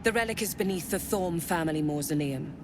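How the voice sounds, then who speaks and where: An actress playing a seductive, menacing female commander of a dark cult in a video game, sultry and commanding in manner. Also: lowish voice, fairly quick, background noise, lightly acted.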